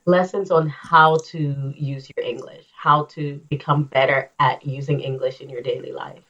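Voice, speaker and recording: A woman's voice: a bass voice, normal speed. A woman English coach talking casually and energetically as an interview guest. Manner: energetic, action-focused, animated when acting out scenes. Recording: slightly dull, lo-fi sound.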